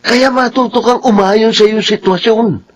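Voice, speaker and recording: A man's voice: sits high, fairly even intonation, normal speed, lo-fi sound. An actor playing a preachy male confidant who gives sententious advice, with a Filipino accent, in radio drama style. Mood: admonishing. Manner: sententious, emphatic. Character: high-voiced, preachy male confidant dispensing life advice.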